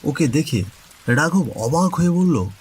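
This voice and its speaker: A man's voice: on the high side, lively intonation, a little slow, darkish tone. A man narrating a scripted cartoon story as its storyteller. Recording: faint background noise.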